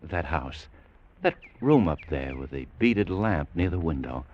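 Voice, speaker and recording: A man's voice: rumbling low, expressive, a little slow, muffled recording, a little hiss or hum. An actor playing an earnest, awestruck spaceman longing for home, in a dramatic old-time radio style. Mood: nostalgic.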